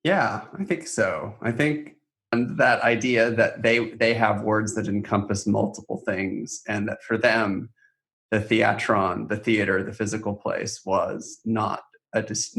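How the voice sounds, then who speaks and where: A man's voice: lowish voice, creaky, fairly bright tone. A man co-hosting a podcast, explaining things conversationally and reflectively, building his points through repeated phrasing. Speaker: podcast co-host.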